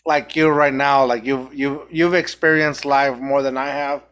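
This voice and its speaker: A man's voice: medium pitch, steady tone, a little slow, fairly bright tone, clear, resonant tone. A young man from Mexico with a Mexican accent, hosting a podcast in a casual, reflective chat and often restarting his sentences. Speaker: podcast host.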